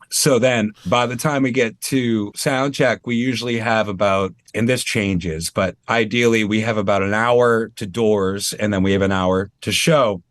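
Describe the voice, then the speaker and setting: A man's voice: lowish voice, no echo. A man from Maine, a tribute performer talking casually and animatedly as an interview guest over a video call. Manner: chatty, animated, self-deprecating.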